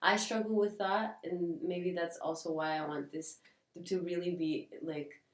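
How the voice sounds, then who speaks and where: A woman's voice: on the low side, steady tone, measured pace. A woman from Portugal, co-hosting a podcast in casual reflective chat, earnest and often searching for words. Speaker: podcast co-host. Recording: echoey room, distant mic.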